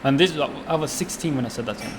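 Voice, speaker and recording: A man in his twenties with a Swedish accent, chatting in an interview as a chef, halting with many fillers and restarts. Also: medium pitch, noisy background. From Sweden. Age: 20s. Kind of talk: casual interview.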